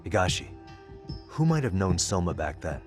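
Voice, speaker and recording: A man playing a cool, focused detective who reasons in a measured way in an English game dub. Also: deep voice, sing-song. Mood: determined. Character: cool, analytical male detective, focused and composed. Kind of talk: video game cutscene dialogue. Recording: music behind the voice.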